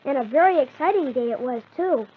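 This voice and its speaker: A woman's voice: high-pitched, expressive, a little fast. A woman playing a friendly young narrator of a children's adventure in an old radio serial. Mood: storytelling. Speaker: storyteller. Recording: tinny, faintly noisy old radio recording.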